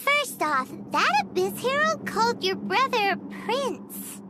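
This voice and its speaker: A woman's voice: very high voice, very animated, takes it slowly, exaggerated voice. A woman voicing a tiny, curious and talkative fairy companion in a game, who speaks of herself in the third person. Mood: puzzled. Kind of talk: game cutscene voice acting. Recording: loud background noise.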